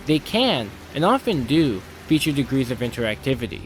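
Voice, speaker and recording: A man's voice: mid-range voice, sing-song, brisk, a little hiss or hum, low-quality recording. A man narrating a scripted video essay in an opinionated, literary manner with formal wording.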